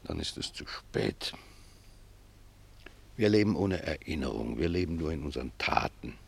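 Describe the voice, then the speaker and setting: A humble old gardener with a very deep, creaky and husky voice. He speaks with deference and simple, reflective earnestness, in the manner of a radio play. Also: very animated, moderate pace, full character voice. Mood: reflective, earnest. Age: older. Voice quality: gravelly, husky. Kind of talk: German radio play acting.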